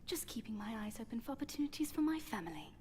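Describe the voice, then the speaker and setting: An actress playing a cool, scheming aristocratic witch wife in a fantasy audio drama, measured and poised. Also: a little high, very animated, crowd noise. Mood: guarded.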